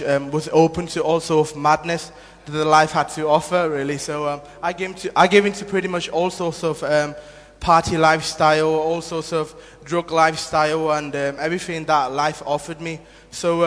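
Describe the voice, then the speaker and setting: A young man from Nepal shares his testimony in a church hall, speaking earnestly and haltingly, full of ums and restarts. His voice sits a little high, and his words ring out with long reverb across the room. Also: a bit bright. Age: 20s. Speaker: guest giving a testimony.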